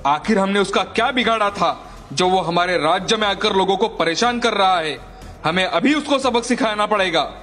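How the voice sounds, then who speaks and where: A man voicing a troubled, commanding fairy-tale king in formal, declamatory animated story dialogue. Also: sits high, moderate pace. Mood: indignant. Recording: long hall reverb, faint music.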